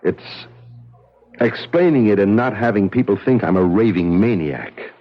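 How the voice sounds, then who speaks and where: An actor playing a weary, easygoing government scientist in a 1970s radio drama, with a hesitant, sighing delivery. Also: male voice, lowish voice, a little slow. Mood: weary, troubled. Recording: dull 1970s radio recording, music behind.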